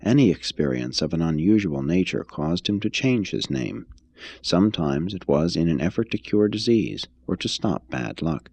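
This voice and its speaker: A man reading an expository text aloud as a narrator, in a measured, formal manner. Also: low-pitched, vocal fry, darkish tone, slightly rough, dry recording. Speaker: reader, narrator. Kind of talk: scripted audiobook-style reading of an expository text.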